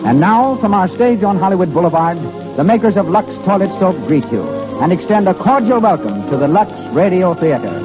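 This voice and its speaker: A man's voice: sits high, expressive, fairly quick, lo-fi sound. A man announcing a 1930s radio show in a formal, declamatory style. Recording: band-limited vintage radio with loud music. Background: music.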